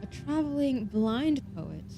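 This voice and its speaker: A woman's voice: high voice, big pitch swings, takes it slowly, creaky, warm tone, faint music behind. A woman game streamer giving casual, chatty live reactions and murmured asides.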